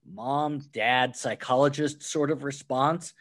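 Man explaining restaurant management calmly. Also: medium pitch, slow pace. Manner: explains with worked examples, acts out quoted replies. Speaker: author and restaurant guest. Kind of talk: conversational podcast interview.

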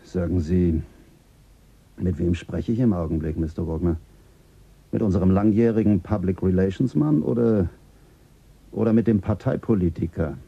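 An actor playing a calm, dry mission director with a husky voice in a radio play. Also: male voice, sits low, moderate pace, pauses often, dull audio, heavily acted. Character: weary male space mission director, family man.

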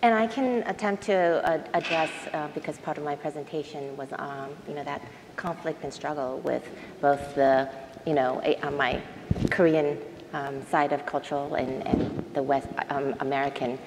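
A woman on a panel talking informally, with hesitant, halting phrasing. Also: low voice, steady tone. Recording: echoey hall, noisy room tone.

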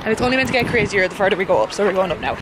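A woman's voice: mid-range voice, lively intonation, very fast, heavy background noise. A young woman in her twenties chatting casually to a handheld camera on a road trip, swearing freely and poking fun at herself. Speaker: vlogger. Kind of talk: casual travel vlog. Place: outdoors on a coastal mountain road trip. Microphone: handheld camera at arm's length.

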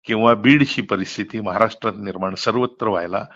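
A man's voice: on the low side, fairly even intonation, quick pace, fairly bright tone, muffled recording. A middle-aged man explaining things emphatically and asking rhetorical questions in a candid political phone conversation, recorded off the call. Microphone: telephone.

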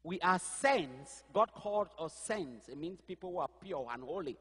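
A man in his fifties whose first language is not English, preaching a sermon on a church stage with a headset mic, animated and gesturing as he searches aloud for words. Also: on the high side, normal speed, husky, long reverb tail. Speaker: pastor. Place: stage of a large church hall.

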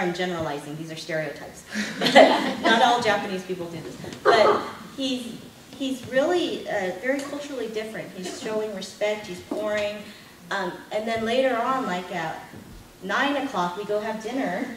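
A middle-aged woman with a fairly high voice leads a classroom workshop, talking animatedly and laughing as she moves around. She is picked up by a distant camera, so her voice carries the echo of the room. Steady background noise runs underneath. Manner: animated, gesturing, laughing often. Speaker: workshop presenter. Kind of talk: lively lecture with anecdotes. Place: classroom with projector screen. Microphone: far camera, no visible mic. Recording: echoey room from far off, noisy.

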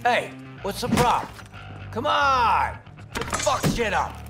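A man's voice: extremely high pitch, very animated, slow, over music, exaggerated voice. An actor playing a brash, volatile aging male rock star in a video game, swaggering and foul-mouthed in street slang. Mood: rowdy, fired up.

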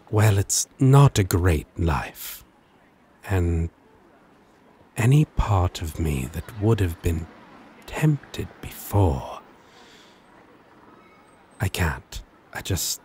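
Slow, deep-voiced flirtatious demon played by a man. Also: expressive, a little mellow, a little hiss or hum, exaggerated voice. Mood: tender, self-deprecating. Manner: halting, hesitant, with long pauses. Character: flirtatious lovesick male demon, teasing yet self-deprecating. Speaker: audio roleplay voice actor. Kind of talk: intimate ASMR roleplay acting.

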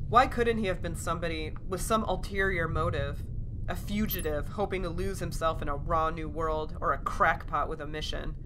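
Low-voiced, terse woman space pilot played by an actress. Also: steady tone, normal speed, noisy background, close to an everyday voice. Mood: frustrated, brooding. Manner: firm, clipped, curt. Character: terse, burdened female emergency dispatch space pilot. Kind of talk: sci-fi audio drama acting.

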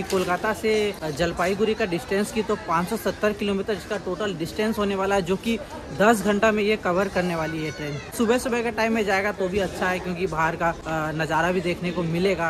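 A man's voice: high-pitched, steady tone, a little fast. A man in his thirties making a casual travel vlog, chatting and explaining as he films himself with a phone at arm's length on railway platforms and inside a train. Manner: chatty, explains as he films, mixes in English words. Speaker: travel vlogger. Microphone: phone held at arm's length. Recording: loud crowd and train noise on a phone. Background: crowd noise and train sounds.

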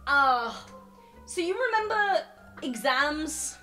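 Woman playing an awkward, high-voiced young male student. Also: lively intonation, slow pace, pushed and bright, soft background music, heavily acted. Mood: nervous, apologetic. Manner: hesitant, earnest. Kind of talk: improvised tabletop roleplay.

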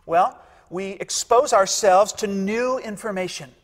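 A man's voice: high-pitched, measured pace. A man in his fifties, a pastor giving a sermon in a church sanctuary, emphatic and repeating key phrases for weight. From United States. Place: large church sanctuary, indoors. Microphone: lapel mic. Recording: long church reverb.

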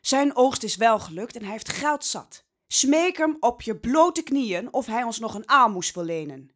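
A woman's voice: fairly high pitch, animated, a little slow. A woman playing a desperate, hungry farmer's wife and mother in an audio drama. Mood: desperate, pleading.

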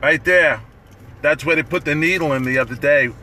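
Raspy middle-aged man chatting from his car. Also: a little high, moderate pace, pushed and bright, a little hiss or hum. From New York. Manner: loose, profane, chatty, laughing often. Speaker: livestream host. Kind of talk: casual livestream chat. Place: parked car. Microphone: phone at arm's length.

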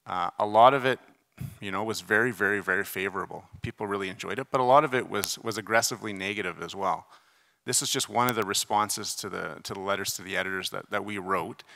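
A middle-aged man delivers a conference lecture through a podium mic in a reverberant hall. His voice is fairly low and forceful, with creak in it. He explains in a conversational, emphatic way, dropping in informal asides. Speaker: surgeon giving conference debate presentation. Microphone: podium microphone.

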